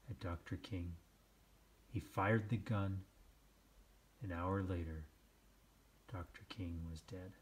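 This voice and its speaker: A man reading a children's book aloud, with measured, deliberate phrasing and dramatic pauses. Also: fairly low pitch, a little mellow. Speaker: storybook reader. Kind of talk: scripted children's read-aloud narration.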